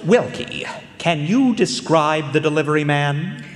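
A shrewd radio-play gumshoe, posing leading questions with showmanship. His fairly high voice moves up and down freely in a very echoey hall. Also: expressive, unhurried, over music. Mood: probing. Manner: rhetorical, probing, theatrical. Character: male private eye, shrewd, showman-like questioner. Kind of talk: live radio drama acting.

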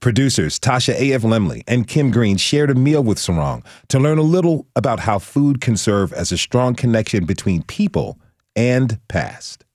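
A man hosting a radio show, reading a measured, scripted introduction and welcoming his guests. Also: medium pitch, very animated, a little mellow, no room sound.

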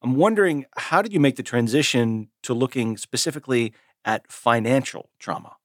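A man's voice: mid-range voice, moderate pace, no room sound, clean studio sound. A man hosting a radio show, introducing a guest and asking questions in an inquisitive way. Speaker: radio host. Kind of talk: radio interview.

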